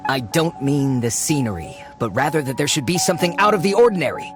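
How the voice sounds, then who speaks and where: A man's voice: a little high, sing-song, fast talker, over music. An actor voicing a sly, greedy treasure-seeking man with theatrical delivery in a video game. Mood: musing, eager. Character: greedy, eager treasure-seeking man feigning scholarly interest.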